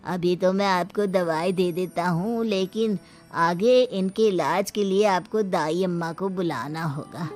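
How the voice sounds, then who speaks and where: Woman voicing a slow, kindly village healer. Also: fairly low pitch, darkish tone, soft background music, close to an everyday voice. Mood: reassuring. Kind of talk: Hindi cartoon story dialogue.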